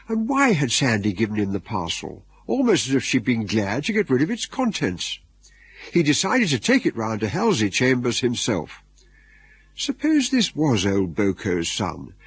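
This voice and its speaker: A man's voice: fairly high pitch, breathy edge, full character voice. A man with an English accent narrating a radio drama in a wry, droll storytelling manner.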